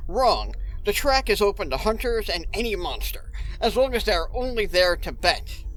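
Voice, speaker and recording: Male actor as cocky streetwise hunter, animated intonation, emphatic, confident, comedy horror podcast. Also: sits high, normal speed, faint music behind, rough recording. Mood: assured. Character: cocky, foul-mouthed, worldly male monster hunter. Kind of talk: comedy audio drama acting.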